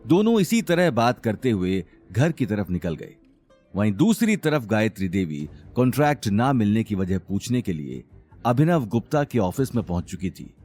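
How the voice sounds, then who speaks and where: उतार-चढ़ाव भरी आवाज़ वाला पुरुष कथावाचक. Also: male voice, fairly low pitch, sing-song, normal speed, darkish tone, soft background music. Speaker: narrator. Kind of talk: dramatic scripted story narration.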